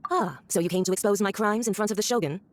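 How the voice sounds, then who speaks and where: Haughty, quick-talking villainess played by an actress. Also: female voice, mid-range voice, lightly acted. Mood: mock polite. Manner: condescending, theatrical, sneering. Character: haughty, mocking villainous woman diplomat with lethal power. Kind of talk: video game voice acting.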